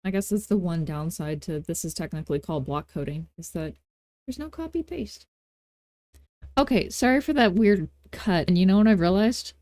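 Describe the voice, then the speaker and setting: A woman hosting a casual software tutorial, talking in an informal, playful and self-deprecating way. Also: lowish voice, expressive, normal speed, no room sound. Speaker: tutorial host.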